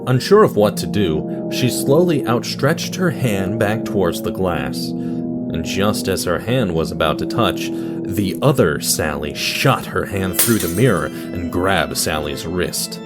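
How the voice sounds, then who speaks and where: A man narrating a scripted story as a dramatic storyteller, with deliberate pauses and direct address to the listener. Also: low-pitched, expressive, over loud music.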